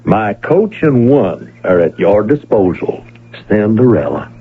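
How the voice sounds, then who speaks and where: An easygoing cowpuncher turned peddler, heard through a thin, phone-like old radio broadcast with music. He has a deep Western American drawl that swings widely in pitch. He talks in a folksy, kindly, coaxing way, in classic radio drama style. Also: big pitch swings, a little slow, slightly rough, heavily acted. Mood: gentle, encouraging.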